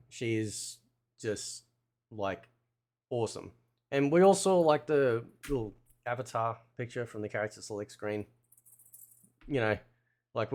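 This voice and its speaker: Man casually talking through game footage. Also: medium pitch. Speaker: video game content creator. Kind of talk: casual gameplay commentary.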